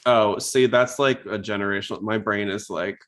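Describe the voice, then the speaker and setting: Man, lowish pitch, podcast host, casual conversational commentary, rambling, self-interrupting. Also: fairly even intonation, moderate pace, fairly bright tone. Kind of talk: casual chat, episode commentary.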